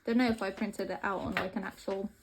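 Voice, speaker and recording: A woman's voice: medium pitch, fairly even intonation, fast, background noise. A young woman from Latvia chatting casually and hesitantly as she flips through her old sketchbooks. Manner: rambling, hesitant, offhand. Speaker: art vlogger. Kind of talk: casual narration while flipping through sketchbooks.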